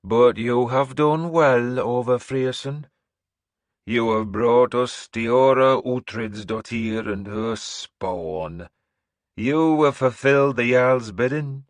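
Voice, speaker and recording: A man's voice: medium pitch, no room sound, voice pushed up, natural voice. A man playing a menacing old throne queen. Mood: commanding. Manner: slow, hissing, imperious pronouncements. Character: sinister old woman ruling from a throne.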